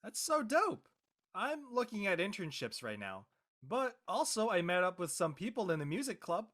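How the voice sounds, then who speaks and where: A young woman playing an easygoing, earnest college student with casual, slangy, expressive speech in a comedic drama reading. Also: mid-range voice, moderate pace. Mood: amazed, interested. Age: young adult.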